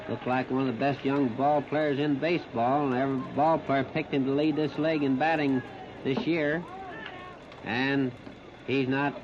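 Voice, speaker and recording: An American man calls live baseball play-by-play on the radio in short, plain-spoken, folksy phrases. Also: medium pitch. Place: outdoor ballpark. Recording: noisy, muffled 1930s radio broadcast recording.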